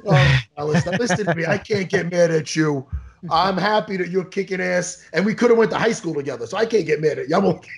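A man in his forties hosting a casual video-call interview, loud and joking, teasing his guest. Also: sits high, expressive, quick pace, gravelly. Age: 40s. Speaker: host.

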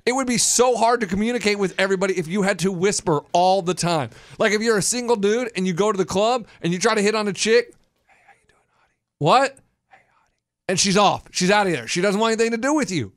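A young man co-hosting a sports radio show in casual banter, emphatic and loud, acting out little quoted exchanges. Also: high voice, quick pace, dry recording, clean studio sound.

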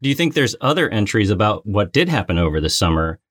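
Man, forties, podcast co-host, animated pitch, teasing, inquisitive, casual, dry recording. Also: quick pace, dry recording. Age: 40s. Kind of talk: casual chat.

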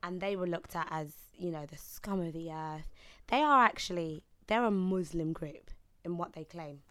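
A woman's voice: fairly low pitch, normal speed, fairly bright tone, no room sound. A woman co-hosting a podcast, asking questions and giving earnest opinions in casual chat. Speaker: podcast co-host.

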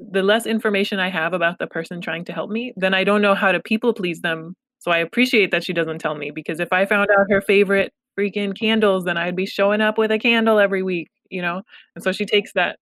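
A woman's voice: on the low side, a bit bright, dry recording. A woman therapist from Los Angeles, a Pashto speaker, talking candidly and reflectively with self-deprecating humor in a conversational interview. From Los Angeles, United States. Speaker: therapist, guest.

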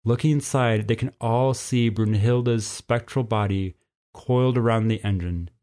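A man's voice: mid-range voice, measured pace, mellow. A man reading a story aloud as narrator in a scripted storybook style.

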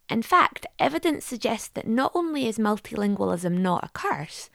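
A woman's voice: medium pitch, gravelly, no room sound, clean studio sound. A woman reporter reading scripted narration in a fluent, polished delivery.

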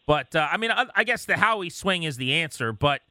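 A man's voice: fairly high pitch, expressive, a little fast, sharp tone, no room sound. A man hosting a sports radio show, chatting casually with a fan's enthusiasm. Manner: enthusiastic fan, conversational.

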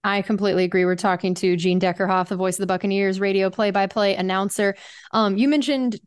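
A woman who reports for a team, hosting a radio show and asking clear, measured questions. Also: medium pitch, steady tone.

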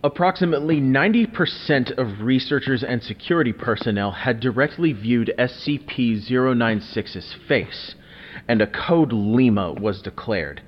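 A brisk male official, acted by a man addresses a hearing in formal tones. His mid-pitched voice is slightly dull, with faint noise, in a scripted audio drama. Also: animated, natural voice. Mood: formal, probing.

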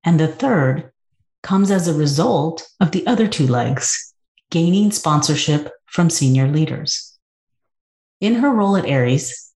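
A woman with a very low voice hosts a podcast and opens it with a scripted introduction. She greets her guest eagerly. Her voice carries a noticeable room echo. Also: darkish tone. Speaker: podcast host. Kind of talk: scripted intro then casual interview. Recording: roomy echo.

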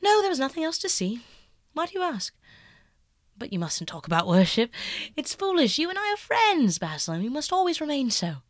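A woman's voice: high-pitched, very animated, fairly quick, few pauses, no echo, studio quality, pitched above the performer's own voice. A woman voicing Dorian, a vain flippant young man. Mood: defensive.

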